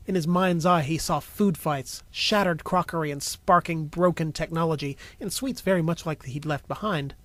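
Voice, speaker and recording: A man reading scripted story narration as a storyteller, in an expressive way. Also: a little high, moderate pace, hardly any pauses, dry recording. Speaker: narrator and voice actor.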